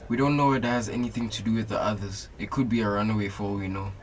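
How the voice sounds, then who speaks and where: A man plays a sceptical police detective in a student radio drama. The voice is on the low side, with a level-headed, businesslike manner. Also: fairly even intonation, fast, a little hiss or hum, natural voice, rough recording.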